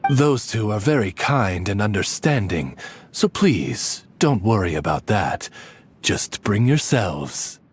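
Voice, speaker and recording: A man's voice: fairly low pitch, big pitch swings, slow pace, slightly rough, full character voice. A man voicing a courteous, butler-like raven familiar with refined, formal diction in an anime fantasy game.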